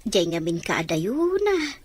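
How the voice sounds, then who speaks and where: A woman acting a provincial mother gently persuading her grown child, in an audio drama. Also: medium pitch, very animated, unhurried, breathy edge. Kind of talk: Ilocano radio drama acting.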